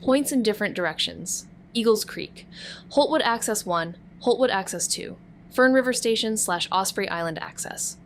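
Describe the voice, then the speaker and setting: A woman's voice: mid-range voice, animated, normal speed, fairly bright tone, a little hiss or hum. A woman voicing a wry young amateur sleuth who narrates a noir audio drama with dry, sardonic remarks. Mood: uneasy. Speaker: narrator and investigator.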